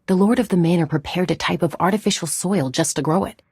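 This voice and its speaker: A woman's voice: lowish voice, fast, darkish tone, slightly rough, no echo, studio quality. A woman playing a composed, reserved female scholar in video game dialogue, speaking precisely.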